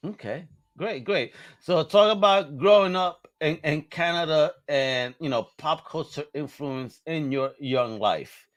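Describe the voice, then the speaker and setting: A man's voice: a little high, a little slow. Hyped, slangy male podcast host. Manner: hyped, slangy and jokey, calls the guest brother. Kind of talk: casual podcast interview.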